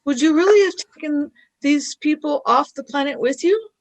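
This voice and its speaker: An earnest young woman in a space radio play, acted by a woman. Her voice is extremely high, with a questioning, anxious delivery. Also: normal speed, vocal fry, low-quality recording. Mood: curious. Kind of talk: fan radio play acting.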